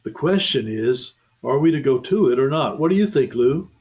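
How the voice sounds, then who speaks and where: A man voicing a boy who leads his siblings, steady and decisive. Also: medium pitch, moderate pace, lightly acted. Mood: cautious. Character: eldest brother, a boy taking the lead.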